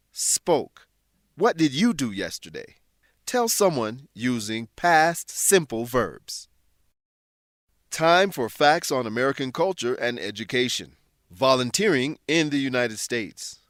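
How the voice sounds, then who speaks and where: A man reads scripted educational narration in a deliberate, clearly worded, instructional manner. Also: medium pitch, a bit bright, no room sound, clean studio sound. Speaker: narrator and English teacher.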